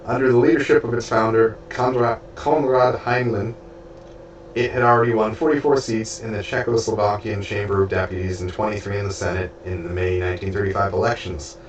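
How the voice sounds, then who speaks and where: A man reading a book aloud with spoken asides, stuffy and losing his voice, halting and stumbling over names. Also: lowish voice, fairly even intonation, highs cut off. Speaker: audiobook reader and streamer. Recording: roomy, distant from mic, faint background hiss.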